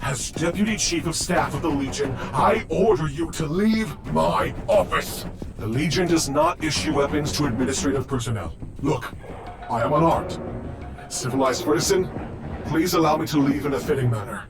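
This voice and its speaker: A man acts a pompous, cowardly deputy chief of staff from a video game. He is fussy and indignant in a high, very animated voice, over clearly audible music. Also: normal speed, lightly acted. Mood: pompous, nervous.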